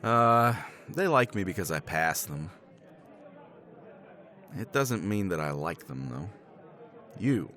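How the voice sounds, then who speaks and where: A man in a romance ASMR roleplay speaks as a professor, his voice low and slow. His pitch swings widely as he teases, laughs and swears without a care. He keeps it wry and self-mocking. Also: very animated, sharp tone, a faint crowd. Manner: wry, teasing, self-deprecating; swears casually, laughs often.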